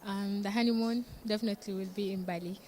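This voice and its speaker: A woman from South Sudan answering street interview questions in a relaxed, confident way, often saying "like". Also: mid-range voice, quick pace, a crowd in the background.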